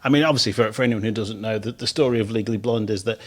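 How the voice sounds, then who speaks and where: A man's voice: fairly low pitch, racing. A man in his fifties hosting a radio podcast in a studio. He talks casually, laughs easily and often restarts his phrases. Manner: chatty, frequent laughs and restarts.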